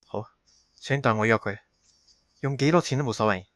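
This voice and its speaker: A man's voice: mid-range voice, moderate pace, a bit bright, no echo, clean studio sound, natural voice. A man acting a haunted, bitter fugitive in an audio drama, with a brooding delivery. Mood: anguished. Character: haunted, bitter man hiding for thirty years, resigned.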